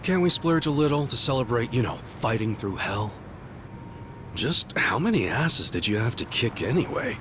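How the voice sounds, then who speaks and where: An actor voicing a brash, wisecracking young male yakuza buddy in an English game dub, teasing, excitable and swearing freely. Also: medium pitch, moderate pace, background noise, close to an everyday voice. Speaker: game character. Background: game soundtrack music.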